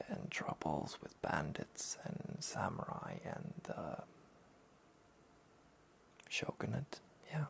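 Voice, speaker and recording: Soft-spoken man, very creaky, hesitant. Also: low-pitched. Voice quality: soft, breathy, often near-whisper. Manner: hesitant, rambling, understated. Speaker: host of a soft-spoken anime talk show. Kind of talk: soft-spoken relaxed anime review.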